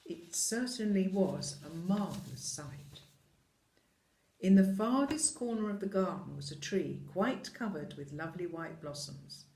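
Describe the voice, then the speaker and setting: Slow, expressive storyteller in an echoey room. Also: mid-range voice. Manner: deliberate, dramatic phrasing. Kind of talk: expressive scripted storybook narration.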